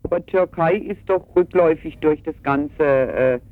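Over a thin, narrow phone line, a man answers an interviewer. His voice sits fairly high, and he talks in a colloquial, hesitant way. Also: normal speed, dry recording. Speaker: travel agency employee being interviewed. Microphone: telephone.

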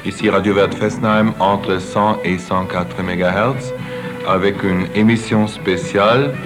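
Low guy reading a station announcement slowly, guitar music under it. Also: steady tone. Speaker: radio announcer. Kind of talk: radio announcement.

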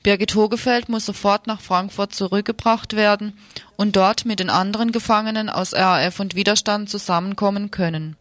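A woman's voice: mid-range voice, steady tone, fairly quick, fairly bright tone, no room sound. A woman reading a written text aloud as part of a radio news programme, recorded in a studio.

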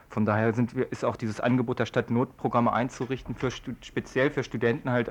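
A young man, a student activist, answering interview questions in a halting, wordy, colloquial way. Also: fairly low pitch. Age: young adult. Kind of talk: informal spoken interview answers. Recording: dull lo-fi radio recording.

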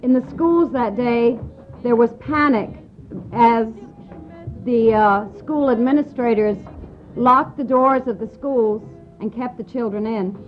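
An American woman giving personal testimony in halting, short phrases, pausing for an interpreter. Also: a little high, faint music behind. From United States. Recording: dull lo-fi archive radio tape.

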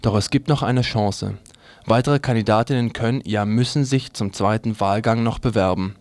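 A man's voice: lowish voice, normal speed, darkish tone, no room sound. A man reading scripted news items as a radio news presenter. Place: dry radio studio.

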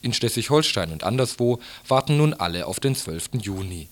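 A man's voice: mid-range voice, big pitch swings, fairly quick. A man reading scripted radio news reports as a morning show presenter.

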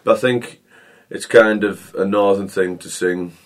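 Deep voiced bloke, laid back and dry, chatting about his band. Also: fairly even intonation, unhurried. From North East England. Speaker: singer and guitarist. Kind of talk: interview chat.

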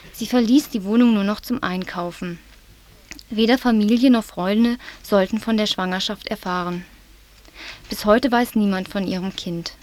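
A woman presents local news in a measured, serious reading voice at a middling pitch. She is from southwest Germany. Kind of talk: read-out news report.

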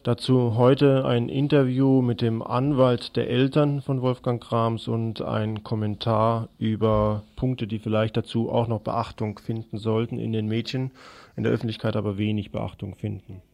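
A man presenting scripted radio news and commentary in a deliberate, sardonic manner. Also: medium pitch, flat delivery, creaky, darkish tone.

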